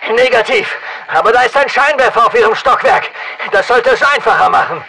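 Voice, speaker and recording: Battle-hardened special forces operator for a shooter game: high-pitched, fry-edged, clipped radio commands. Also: male voice, animated, brisk, background noise, exaggerated voice. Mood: focused. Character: masked male special forces soldier, terse and battle-hardened. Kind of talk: military radio callouts in a shooter game dub.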